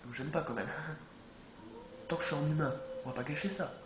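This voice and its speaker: Brief: man, bit high-pitched, congested from a cold, rambling let's-play chatter, roomy noisy home recording. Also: fast. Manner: rambling, self-correcting, chatty. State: stuffy with a head cold. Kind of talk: casual gameplay commentary. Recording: echoey room with background noise.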